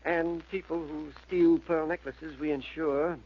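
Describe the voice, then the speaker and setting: An actor playing a shrewd, suspicious, insinuating insurance investigator in 1940s radio style. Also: male voice, on the high side, steady tone, a little slow, slightly rough. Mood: sly. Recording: dull old radio recording, faint noise.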